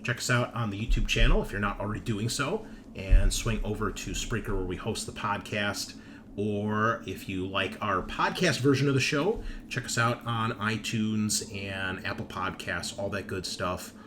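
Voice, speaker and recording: A man with a fairly low voice hosts a tabletop gaming show. He runs through announcements in a casual, joking and profane way. There is noticeable noise behind him. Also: normal speed. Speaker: host and game master of a tabletop gaming show.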